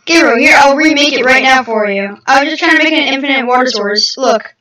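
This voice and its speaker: A woman's voice: high-pitched, fairly quick, bright, forceful tone. A woman chatting casually while playing a game, keeps calling out to her partner. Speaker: gameplay host. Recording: echoey room, distant mic.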